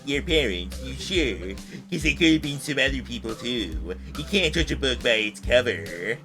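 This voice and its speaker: A man's voice: medium pitch, very animated, moderate pace, over music. A man voicing a paranoid half-blind dude. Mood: suspicious. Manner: casual bro talk, suspicious, abrupt. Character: paranoid young man, half-blind, thick glasses, brash. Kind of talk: voicing a visual novel character with friends.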